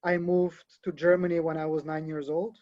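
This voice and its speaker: A man from Bulgaria speaking as a guest on an online panel over a video call, deliberate and matter-of-fact. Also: fairly high pitch, little pitch movement. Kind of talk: casual panel talk. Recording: dry, dull lo-fi call audio.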